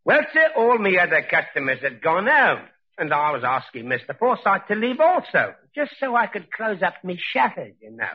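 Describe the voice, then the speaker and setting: A folksy british publican witness, raspy, highish, humble n chatty, old radio. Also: male voice, huge pitch swings, moderate pace, exaggerated voice. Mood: nervous, eager. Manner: deferential, rambling, folksy. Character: humble, talkative British pub proprietor on the witness stand. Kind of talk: 1940s radio acting. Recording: muffled vintage radio recording.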